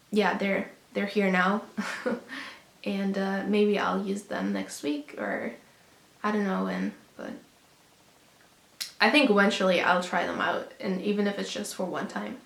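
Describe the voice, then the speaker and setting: Raspy-voiced young woman in an echoey room. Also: medium pitch, fairly bright tone. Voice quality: raspy from a lingering cold. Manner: chatty, with frequent ums and restarts. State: hoarse, getting over a cold. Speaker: vlogger sharing a pregnancy update. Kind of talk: casual chat to camera.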